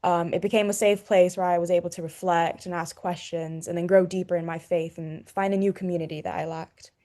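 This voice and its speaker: A woman's voice: fairly low pitch, flat delivery, brisk, mellow. A young woman student from Hong Kong, giving an earnest personal faith testimony with fillers like "you know.". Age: young adult.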